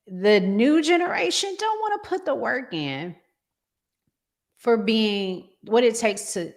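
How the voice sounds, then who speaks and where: A woman's voice: fairly high pitch, sing-song, takes it slowly. A woman hosting a talk show, giving conversational relationship advice emphatically and repeating phrases to drive them home.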